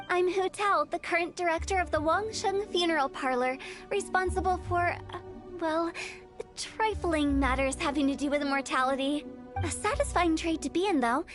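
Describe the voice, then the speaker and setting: A woman voicing a quirky, playful teenage girl funeral director in a video game, glib and theatrical with a morbid cheer. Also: extremely high pitch. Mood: breezy. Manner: theatrical, glib sales patter. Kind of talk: animated video game voice acting. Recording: game music behind the voice.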